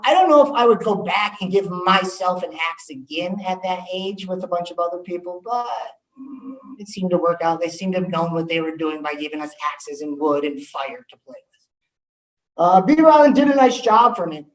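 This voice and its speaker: A man's voice: sits high, normal speed, recorded from a distance. Man speaking in an echoey room. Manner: candid storytelling with frequent ums. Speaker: assistant principal. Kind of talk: motivational talk to students.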